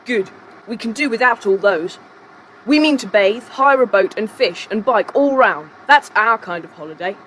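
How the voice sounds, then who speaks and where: An actor playing a confident, polite schoolboy who leads child adventurers in an audiobook drama, brisk and decisive. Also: medium pitch, animated, a bit bright, a little hiss or hum, close to an everyday voice, low-quality recording. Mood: cheerful, assured. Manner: brisk, decisive, well-mannered.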